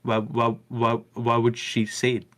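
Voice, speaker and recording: A man's voice: mid-range voice, monotone, unhurried, a bit bright. A man calling in to a livestream, arguing in a hesitant way with many repeats and fillers. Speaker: caller. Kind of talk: call-in debate.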